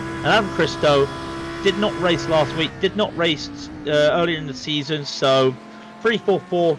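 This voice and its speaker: A man's voice: fairly high pitch, noisy background. A man doing live sim racing commentary, working through standings and calling out the grid order with frequent fillers. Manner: works out numbers aloud with many fillers.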